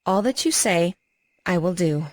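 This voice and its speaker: A woman's voice: lowish voice, expressive, a little slow, noisy background. A woman playing a humble, grateful young widow in a dramatized scripture reading, speaking earnestly and with deference. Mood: submissive. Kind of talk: dramatized scripture audiobook acting.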